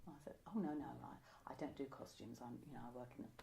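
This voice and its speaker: Low-pitched older Englishwoman reminiscing. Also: quick pace, creaky, warm tone, a little hiss or hum. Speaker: interviewee, pantomime costume maker. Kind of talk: conversational reminiscence in an interview. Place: indoors, room by a window.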